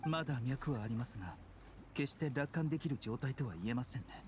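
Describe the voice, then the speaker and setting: An actor playing a refined young doctor in an anime game, polite, gentle and measured, with clinical gravity. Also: male voice, mid-range voice, a little hiss or hum, close to an everyday voice. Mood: grave, composed. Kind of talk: Japanese anime game acting. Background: background music.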